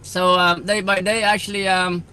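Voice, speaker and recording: High bright-voiced man. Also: level tone, a little hiss or hum. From Cambodia. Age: 50s. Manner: halting, many filler sounds and restarts. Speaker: guest. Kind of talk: phone-in interview.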